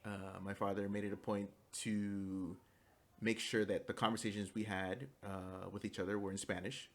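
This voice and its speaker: Young male student, low-pitched, hesitant with ums and restarts, presentation for class. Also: little pitch movement. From United States. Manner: halting, many fillers and restarts; switches into fluent Spanish.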